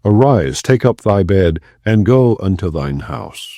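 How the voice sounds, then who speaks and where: A man reading the lines of a grave male teacher who speaks with authority, in a measured, solemn way. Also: on the low side, expressive, warm tone, dry recording, studio quality.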